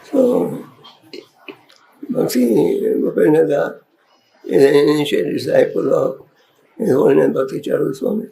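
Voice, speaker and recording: Soft-voiced older man with a gravelly rasp. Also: a little high, lively intonation, rough recording. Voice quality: soft, worn voice. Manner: slow, halting, speaks in short phrases. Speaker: swami giving initiation. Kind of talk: ceremonial address with translation.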